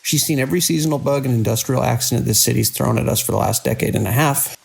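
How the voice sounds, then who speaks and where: A man playing a weary, dedicated hospital director in an audio drama, speaking in a measured, grave way. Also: mid-range voice, vocal fry, breathy edge. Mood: grave, concerned. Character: weary, dedicated male hospital director under mounting strain. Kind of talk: audio drama acting, recorded log entries and phone call.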